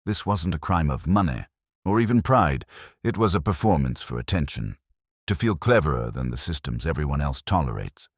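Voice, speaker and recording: Detective drama inspector, played by a male narrator: very low, measured, wry, animated intonation, pointed questioning. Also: husky, dry recording, clean studio sound, exaggerated voice. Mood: grave, wry. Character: gentle, wry, probing male police inspector.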